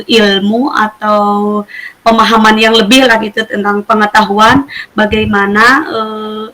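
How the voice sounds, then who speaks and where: A woman's voice: mid-range voice, fairly bright tone, poor audio. A woman in a semi-formal coaching conversation, speaking politely and hesitantly as a teacher, with frequent checking tags. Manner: polite, hesitant, tag-heavy phrasing. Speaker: primary school teacher in a coaching session.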